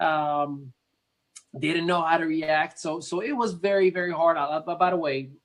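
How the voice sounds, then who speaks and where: A man in his thirties with a Moroccan accent and a somewhat high-set voice. He talks earnestly and at length in an interview, with plenty of fillers, restarts and repeated words. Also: moderate pace. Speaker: interview guest. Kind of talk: conversational storytelling interview.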